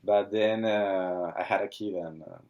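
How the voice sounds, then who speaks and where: Slow, halting man. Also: deep voice, darkish tone, highs cut off. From Argentina. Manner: halting, searching for words in English. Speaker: watch brand founder. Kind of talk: casual interview.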